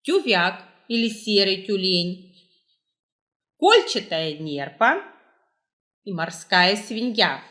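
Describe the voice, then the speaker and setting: A woman hosting a quiz, reading scripted questions and answers aloud with deliberate, measured enunciation. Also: mid-range voice, big pitch swings, fairly bright tone. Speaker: quiz host, librarian.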